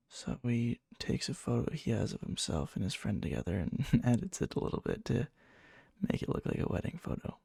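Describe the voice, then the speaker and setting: A man playing a needy, lovesick, obsessive young friend in a soft, breathy ASMR roleplay, hesitant and coy. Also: medium pitch, dry recording, clean studio sound, heavily acted. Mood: dreamy, obsessive. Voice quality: breathy, soft, fry-edged. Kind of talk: whispery ASMR roleplay, intimate storytelling.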